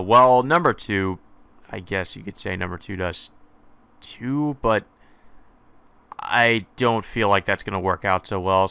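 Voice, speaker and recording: A man's voice: sits low, bright, forceful tone, clear, resonant tone, no echo. A man reading visual novel text aloud with casual commentary, sometimes stumbling over words and correcting himself.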